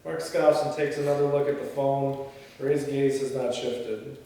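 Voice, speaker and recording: A man's voice: mid-range voice, steady tone, a little slow, mellow. A man reading screenplay stage directions aloud at a table read, with informal asides. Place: indoor echoey room. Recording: very echoey room, distant mic, faint noise.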